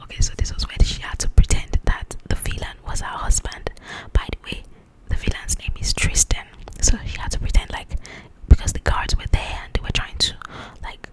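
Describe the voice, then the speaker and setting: A woman speaking in a breathy, confiding whisper, chatting casually to the listener in an ASMR style. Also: moderate pace, poor audio. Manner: confiding, conversational, checks that the listener follows. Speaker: host of an ASMR book channel. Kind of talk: ASMR, whispered chat about book highlights.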